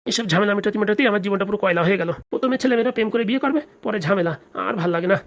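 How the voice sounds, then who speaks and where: A woman's voice: medium pitch, racing, close to an everyday voice. A woman voicing a blustering middle-aged father in a comic cartoon, grumbling and fussy. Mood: weary, grumbling.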